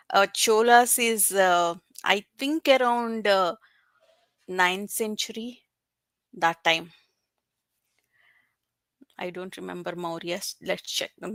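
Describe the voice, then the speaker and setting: A woman from India, who speaks English as a second language, chatting casually as a guest, pausing often with fillers. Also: mid-range voice, fairly bright tone, no room sound. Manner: hesitant, many fillers. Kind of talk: casual chat.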